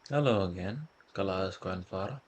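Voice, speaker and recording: A man's voice: sits low, moderate pace, slight background noise. A man doing a casual video game playthrough, commenting and reading the on-screen text aloud. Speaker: game streamer.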